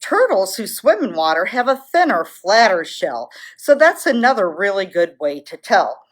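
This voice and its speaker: A woman's voice: medium pitch, big pitch swings, normal speed. A woman presenting story time for children as a zoo educator, speaking in a simple, explanatory way.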